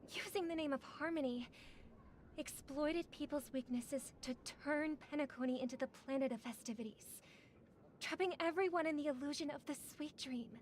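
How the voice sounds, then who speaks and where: An actress playing a gentle, poised young songstress in a game, speaking in a measured, formal, earnest way. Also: female voice, high voice, slight background noise, natural voice. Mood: regretful, resolute. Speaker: game character voice. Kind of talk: scripted game dialogue.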